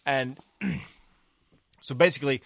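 Bright-voiced Southern man bantering on a podcast. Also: medium pitch, slow, creaky. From Southern United States. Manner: joking, profane, rambling with restarts. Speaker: podcast co-host.

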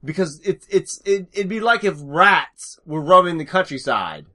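Rambling man with a bright voice. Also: high voice, expressive. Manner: rambling, halting with many restarts. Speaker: podcast co-host. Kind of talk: casual podcast chat.

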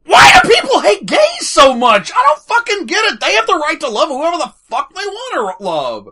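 A man's voice: very high voice, huge pitch swings, moderate pace, bright, forceful tone. A married man co-hosting a podcast in casual chat, swearing often and bursting out in exasperation. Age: adult, married. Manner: profane, exasperated outbursts. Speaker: podcast co-host.

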